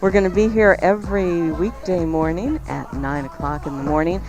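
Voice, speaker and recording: A woman's voice: on the low side, normal speed, music in the background, rough recording. Chatty woman hosting a morning show. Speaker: co-host of a cooking radio show. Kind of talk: casual radio chat.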